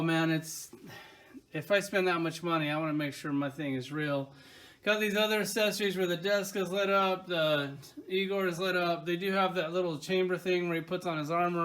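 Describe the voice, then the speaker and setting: Excited man talking about toys. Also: fairly high pitch. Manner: enthusiastic, rambling with many "like" fillers. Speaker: toy collector reviewing his figures. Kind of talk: casual toy review. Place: at home by his collection shelves.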